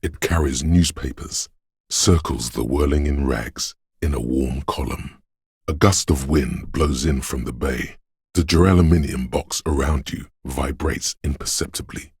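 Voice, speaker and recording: Very deep, gravelly, brooding mystical narrator man. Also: darkish tone, no echo, studio quality, heavily acted. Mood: melancholy, atmospheric. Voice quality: gravelly, husky. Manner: solemn, measured, ominous poetic delivery. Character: brooding, mystical male inner voice sensing the city. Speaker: game narrator voicing an inner sense. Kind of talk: scripted literary game narration.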